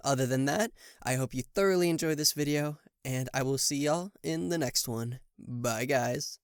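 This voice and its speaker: A man's voice: mid-range voice, normal speed, clear, resonant tone, no echo, studio quality. A man speaking casually as the host of a channel, giving an introduction to his audience. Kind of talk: casual video intro with thanks to supporters.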